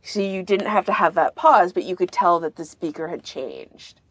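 Slightly low-voiced woman, close dry sound. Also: lively intonation, normal speed, fairly bright tone, breathy edge, dry recording. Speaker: podcast co-host. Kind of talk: casual chat, giving recommendations.

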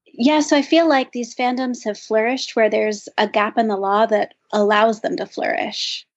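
A woman's voice: fairly high pitch, steady tone, moderate pace. A woman co-hosting a podcast, chatting casually and thinking aloud with lots of "like". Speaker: podcast co-host. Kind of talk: casual discussion. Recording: dull lo-fi sound.

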